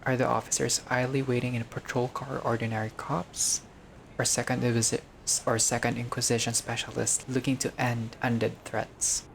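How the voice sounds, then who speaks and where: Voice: medium pitch, little pitch movement, normal speed, vocal fry, slight background noise, rough recording. A gamer reading a visual novel aloud in a casual let's-play style, breaking off into joking, sweary asides.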